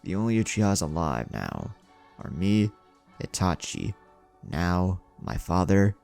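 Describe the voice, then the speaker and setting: A man voicing a calm, blunt, self-assured young male ninja in a narrated story. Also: sits low, unhurried, gravelly, faint music behind, exaggerated voice. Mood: blunt, unrepentant. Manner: calm, plain-spoken. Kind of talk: character dialogue in narrated story.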